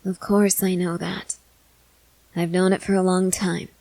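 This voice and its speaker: A woman's voice: lowish voice, little pitch movement, unhurried, vocal fry, warm tone, no echo. A woman playing a confident, experienced vampire who coaxes and reassures in a matter-of-fact way, in an ASMR roleplay. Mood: matter-of-fact, teasing. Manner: matter-of-fact, coaxing, assured.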